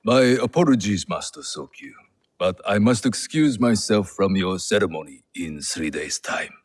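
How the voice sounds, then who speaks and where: An actor playing a smooth, scheming samurai general in a video game, with courteous, formal speech. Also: male voice, sits low, lively intonation, moderate pace. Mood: smooth, apologetic. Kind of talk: video game cutscene acting.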